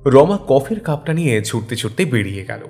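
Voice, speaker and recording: Casting: male narrator for scripted fiction, mid-pitched voice, music underneath. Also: very animated, brisk, a little mellow. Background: background music.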